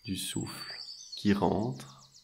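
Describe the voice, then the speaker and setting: A man guiding a meditation in a soothing, measured way, leaving long pauses between short phrases. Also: a little mellow, slight background noise. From French-speaking Switzerland. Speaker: meditation and hypnosis guide. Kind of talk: guided meditation narration.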